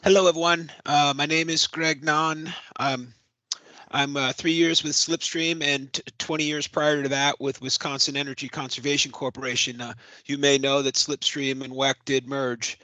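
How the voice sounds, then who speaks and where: A man's voice: on the high side, level tone, moderate pace, sharp tone, dry recording, rough recording. A man introducing himself on a video call in a matter-of-fact way. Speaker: course participant.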